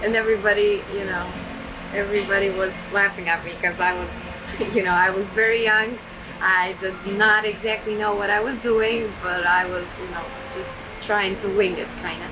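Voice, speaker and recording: A young woman from Serbia talks through her story in a casual interview, self-deprecating and full of fillers and restarts. Her pitch sits mid-range for a woman. A loud steady noise runs under her voice throughout. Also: moderate pace. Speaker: guest, trucking entrepreneur.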